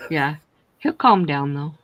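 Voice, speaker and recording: A woman's voice: low voice, animated, a little slow, no room sound, lo-fi sound. A woman in her sixties chatting casually on a livestream and telling long family stories. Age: 60s. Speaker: livestream co-host. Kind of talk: casual chat.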